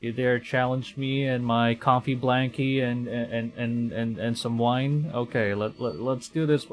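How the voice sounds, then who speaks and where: A man's voice: medium pitch, fairly even intonation, a little slow. A man from the Philippines streaming a horror game, reacting with nervous swearing and stammered restarts. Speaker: VTuber streamer. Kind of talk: casual game-stream commentary.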